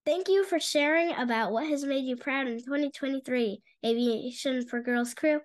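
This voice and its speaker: A young teenage girl reading a scripted news intro as an anchor over a webcam, in a bright, eager way. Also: high-pitched, normal speed.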